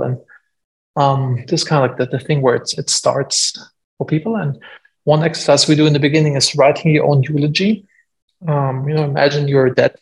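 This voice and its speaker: A man's voice: a little high, gravelly, low-quality recording. A man in his forties with a German accent, a guest entrepreneur talking casually on a podcast, full of fillers. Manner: conversational, frequent fillers, self-deprecating.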